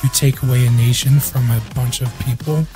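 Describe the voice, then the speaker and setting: A man co-hosting a casual podcast, his voice husky while recovering from an illness, joking crudely and loosely with friends. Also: medium pitch, fairly even intonation, brisk, music in the background. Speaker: podcast co-host.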